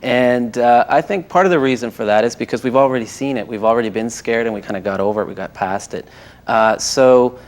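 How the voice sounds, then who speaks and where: A man's voice: medium pitch, fairly quick. A man speaking as a guest panelist in a conversational film discussion, thinking aloud as he goes.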